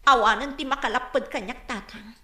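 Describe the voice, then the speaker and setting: High, bright, determined young daughter. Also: female voice, fairly quick. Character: indignant, determined young village daughter seeking justice. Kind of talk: radio drama acting. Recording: echoey radio drama studio.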